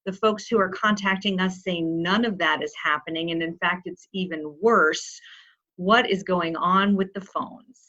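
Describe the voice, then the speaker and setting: A woman's voice: on the low side, steady tone, unhurried, sharp tone. A woman reporter putting pointed questions to an official in an interview. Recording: dull, lo-fi interview audio.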